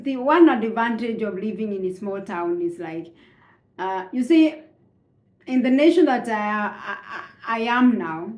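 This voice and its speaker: Slow, hesitant Kenyan woman in echoey room. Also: fairly high pitch, darkish tone. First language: Swahili. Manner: hesitant, many fillers and restarts. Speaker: immigrant sharing her story. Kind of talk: slow reflective personal account.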